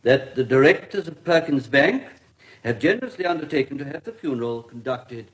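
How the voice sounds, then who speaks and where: An actor playing a formal, courteous British coroner in a radio drama, with measured official diction. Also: male voice, medium pitch, lively intonation, lightly acted, rough recording. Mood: formal, satisfied. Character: formal, courteous English coroner presiding over an inquest.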